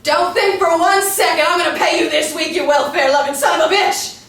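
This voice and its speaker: A woman's voice: extremely high pitch, fairly quick, a bit bright. A woman playing a vain, hot-tempered rich hostess, emphatic and indignant, in a stage play reading. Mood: furious. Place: small indoor room, seated with scripts. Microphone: distant camera. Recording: echoey room, distant mic, faint noise.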